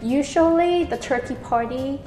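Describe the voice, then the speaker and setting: A woman's voice: high voice, unhurried, warm tone, roomy sound, soft background music. A woman from Canada co-hosting a radio show, talking in a friendly, casual way with many fillers. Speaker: radio co-host.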